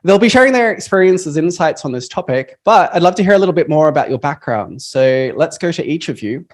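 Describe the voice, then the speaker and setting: A man's voice: a little high, animated, fairly quick. A man hosting an online panel on a video call, upbeat and friendly, with frequent fillers. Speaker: panel moderator.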